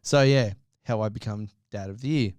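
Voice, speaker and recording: A man's voice: lowish voice, normal speed, darkish tone, no room sound, studio quality. A man co-hosting a podcast, telling stories in casual, sweary banter with a jokey manner. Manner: sweary, jokey, acts out quoted speech. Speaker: podcast co-host.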